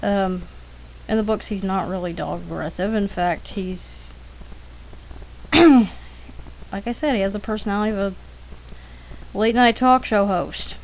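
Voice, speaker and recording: A woman talks casually and informally at an unhurried pace, in the rambling way of someone telling anecdotes during an art demo. Her pitch sits in the middle range for a woman. The recording has faint noise behind the voice. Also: poor audio. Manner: chatty, informal, frequent throat clearing. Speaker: artist narrating a drawing demonstration.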